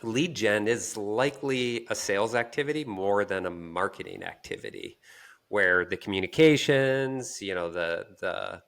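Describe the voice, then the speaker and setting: A man co-hosting a podcast, explaining things conversationally and haltingly, with repeated words. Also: lowish voice, a bit bright. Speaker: podcast co-host. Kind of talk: casual conversational discussion.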